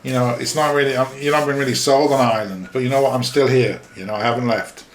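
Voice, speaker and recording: A man's voice: mid-range voice, steady tone, fast, a little hiss or hum. A middle-aged Englishman from Yorkshire with a Yorkshire accent touched by Liverpool, hosting a podcast in a casual, rambling, self-deprecating way with lots of fillers. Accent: Yorkshire English with a slight Liverpool tinge. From Yorkshire, England. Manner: chatty, self-deprecating, many fillers and restarts. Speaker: podcast host. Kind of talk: casual rambling talk over a screen-shared map. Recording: echoey room.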